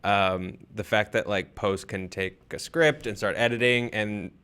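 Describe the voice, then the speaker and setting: A man's voice: fairly low pitch, steady tone, measured pace, a bit bright, clean tone. A man in his thirties with an American accent, co-hosting a casual commentary on a close studio microphone. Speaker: commentary host and writer. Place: small podcast studio. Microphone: desk microphone, close.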